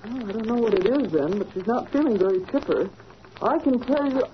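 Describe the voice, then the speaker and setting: A woman playing a pert, sharp-witted newspaper secretary in 1940s radio style, sassy and slangy. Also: fairly high pitch, animated, fairly quick, natural voice. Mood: knowing, teasing. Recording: muffled, noisy old radio recording.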